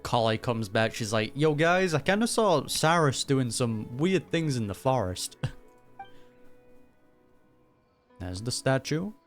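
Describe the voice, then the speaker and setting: A man's voice: medium pitch, big pitch swings, slow pace, pauses often. A young man giving casual, slangy commentary as he reacts to a video game, easily amused. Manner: amused, frequent chuckling, slangy. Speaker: gaming reaction YouTuber. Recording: game music behind voice.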